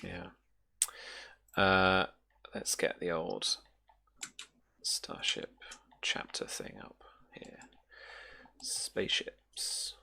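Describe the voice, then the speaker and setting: Game master for tabletop session: deep register with a gravelly edge, informal, thinks out loud. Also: flat delivery, extremely slow, long pauses, whispered. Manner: casual, thinks aloud while looking up rules. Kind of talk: casual tabletop roleplaying narration.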